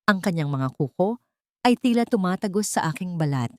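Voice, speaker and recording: A woman narrating a dramatic first-person story in a deliberate, suspenseful way. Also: lowish voice, expressive, a little mellow, no room sound, clean studio sound. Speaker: audiobook narrator.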